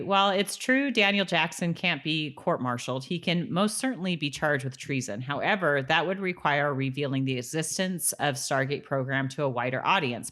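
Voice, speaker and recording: Female podcast co-host, notably low voice, informal and conversational, moves between casual chat and reading notes. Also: fairly bright tone. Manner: informal, frequent "like" and "you know". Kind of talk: casual chat, reading trivia and goofs aloud.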